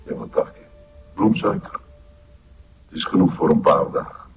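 Deep-voiced, menacing Flemish master. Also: male voice, huge pitch swings, moderate pace, gravelly, heavily acted. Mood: controlling. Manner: commanding, curt, cold. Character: menacing, domineering male cult master and drug supplier. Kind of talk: television crime drama acting. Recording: muffled sound under loud music.

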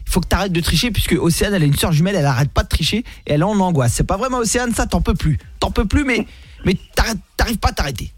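Fast, high-voiced male radio host. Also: animated, no echo. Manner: chummy, slangy, repeats himself. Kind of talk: lively casual phone-in banter. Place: radio studio. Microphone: close studio microphone.

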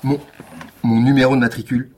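An actor playing a wry, world-weary police lieutenant in a radio drama, deadpan and ironic. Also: male voice, mid-range voice, fairly quick, natural voice. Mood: exasperated, dry. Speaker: narrator and lead character. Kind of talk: scripted radio fiction acting.

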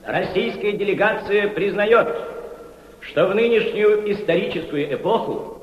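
A Soviet diplomat, a man with a very high voice, giving a measured, emphatic speech. It rings out with long reverb in a big hall, and the radio-drama recording is slightly dull. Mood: firm, solemn. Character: Soviet diplomat delivering a formal conference speech. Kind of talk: formal oratory in a radio play.

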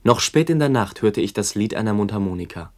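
A man's voice: on the low side, expressive, a little fast, a little mellow. A man giving a sober, descriptive reading of literary memories for a radio documentary. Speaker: narrator.

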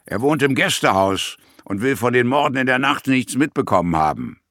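An actor playing a matter-of-fact police sergeant giving a clipped crime scene report in a German radio play. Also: male voice, medium pitch, expressive, normal speed, lightly acted. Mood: sober, official.

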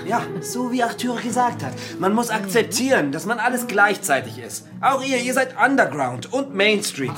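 Fired-up provocative activist in a radio play, lively swings in pitch, music behind her. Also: normal speed, few pauses. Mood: provocative, fervent. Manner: declamatory, provocative, emphatic. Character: provocative, fervent young underground activist preaching reinvention and masks.

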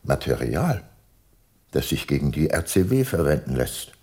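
A man's voice: extremely low pitch, very animated, normal speed, a little mellow, husky, no room sound, exaggerated voice. An actor playing a sombre, reflective older detective who narrates his own radio drama. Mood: sober, probing. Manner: reflective, sober. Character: brooding, sombre aging private detective narrator. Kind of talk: first-person radio drama narration and dialogue.

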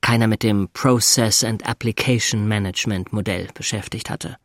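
An actor playing a sober, geeky systems consultant stunned by love in a radio drama, speaking in a wry, halting way. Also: male voice, fairly low pitch, fairly even intonation, a little hiss or hum, close to an everyday voice. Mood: exasperated.

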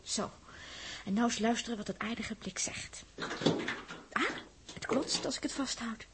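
An actress playing a spirited, playful, quick-tempered young space traveller in a 1960s Dutch radio play. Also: female voice, fairly high pitch, natural voice. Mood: playful, coaxing. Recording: slightly noisy 1960s radio recording.

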